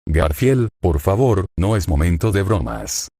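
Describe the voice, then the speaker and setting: Jokey young hero, read by a low-voiced man, dry close sound. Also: big pitch swings, unhurried, vocal fry, dark tone, breathy edge, dry recording, full character voice. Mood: joking. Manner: jokey, then pleading. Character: cheerful young man turned desperate, hero.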